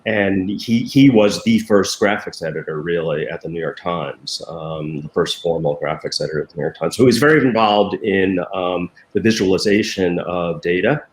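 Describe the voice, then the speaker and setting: A man's voice: deep voice, normal speed, hardly any pauses, echoey room, poor audio. An older man, a former newspaper design director, answering interview questions in a hesitant way full of ums and restarts over a video call.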